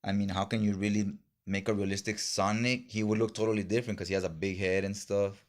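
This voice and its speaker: A man's voice: fairly low pitch, little pitch movement, quick pace. A man chatting casually in slangy, informal fan talk as he reviews things for a vlog. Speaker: comic book haul reviewer.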